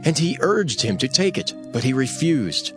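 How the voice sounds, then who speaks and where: A man's voice: medium pitch, big pitch swings, moderate pace, music in the background. A man narrating scripture in a dramatized, scripted storytelling style.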